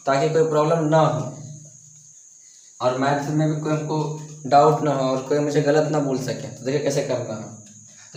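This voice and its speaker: Young guy teaching math w a sore throat, talking soft, coughs n repeats himself, roomy echo. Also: a little high. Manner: repeats and restarts himself, coughs now and then. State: sore throat, speaking softly. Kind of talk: explaining math on a board. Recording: echoey room.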